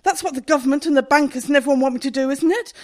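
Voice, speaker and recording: An actress in a radio comedy playing a highly strung, indignant woman shop owner, agitated and melodramatic. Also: rapid-fire, a little mellow, lightly acted. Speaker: actor in a radio play.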